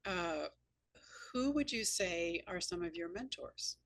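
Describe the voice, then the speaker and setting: A woman's voice: on the low side, expressive, slow pace, no room sound. A woman hosting a conversational interview, chatting and sharing anecdotes. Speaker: interview host and novelist.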